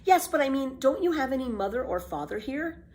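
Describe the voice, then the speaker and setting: Timid little girl, a woman acting, storybook, proper, anxious, earnest, hesitant, raised pitch. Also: very animated, a little fast, darkish tone. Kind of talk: children's storybook read-aloud.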